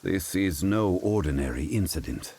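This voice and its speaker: Calm wise gentleman, low pitch, formal, dignified, measured manner, game dialogue, slight background noise. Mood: grave, pondering. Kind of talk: game voice acting.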